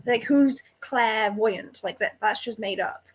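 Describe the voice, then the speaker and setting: A woman's voice: on the high side, unhurried, slightly rough. A woman chatting casually about books in a blunt, jokey, sweary way. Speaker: readalong panelist. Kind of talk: casual book discussion, banter.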